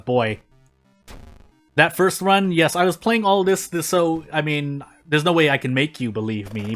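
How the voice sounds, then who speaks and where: Rambling man with a slightly higher voice. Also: a bit bright. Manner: rambling, self-deprecating. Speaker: gaming video host. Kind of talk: casual gameplay commentary and fanfic reading.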